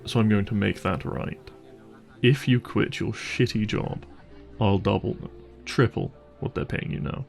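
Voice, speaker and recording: A man's voice: lowish voice, measured pace, creaky, a little mellow, soft background music. A man playing an apologetic, devoted boyfriend with money in an intimate roleplay audio drama, speaking earnestly and tenderly. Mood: earnest, resolute. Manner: earnest, tender, hesitant phrasing with sighs. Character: apologetic, devoted, secretly wealthy boyfriend on a dinner date. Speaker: voice actor.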